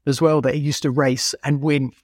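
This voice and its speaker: A man's voice: medium pitch, flat delivery, moderate pace, no room sound, studio quality. A man co-hosting a casual, conversational chat about motorsport. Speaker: podcast co-host.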